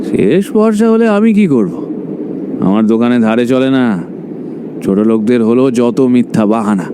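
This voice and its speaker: A man's voice: medium pitch, lively intonation, normal speed, background noise, close to an everyday voice. A man voicing a greedy, heartless middle-aged shopkeeper in a cartoon story, speaking harshly and dismissively. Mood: angry, threatening.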